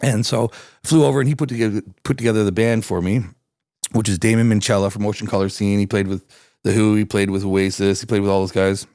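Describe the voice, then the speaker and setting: A raspy-voiced man from Canada, chatting loosely as an interview guest with lots of restarts and fillers. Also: fairly low pitch, fairly even intonation, quick pace, a little mellow, no echo, clean studio sound. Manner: rambling, many restarts and fillers. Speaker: singer-songwriter guest. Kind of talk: casual interview chat.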